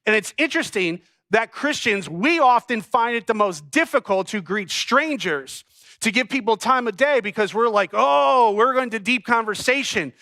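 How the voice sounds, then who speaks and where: A middle-aged man from New England preaching a sermon, telling stories and acting out bits of dialogue with lively energy. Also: very high-pitched, normal speed, no echo. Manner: animated storytelling with acted-out dialogue. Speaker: pastor. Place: church stage.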